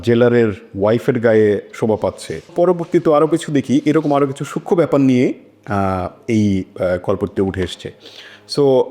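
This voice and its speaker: A man hosts a literary discussion in a dark, mellow voice of medium pitch. His phrasing is measured and hesitant, with the odd English word mixed in. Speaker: discussion host.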